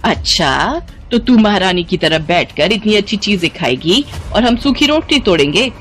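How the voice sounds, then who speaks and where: A woman as a greedy older mother-in-law, scolding, sarcastic, very animated delivery, story drama, faint music. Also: medium pitch, normal speed, close to an everyday voice. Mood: suspicious, bossy. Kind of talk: animated moral story acting.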